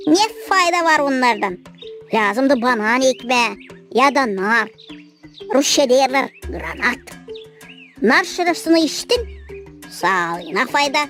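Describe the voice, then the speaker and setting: A woman's voice: high-pitched, a bit bright, full character voice. A woman with a Gagauz accent voicing a cheeky, naive little child in a children's cartoon.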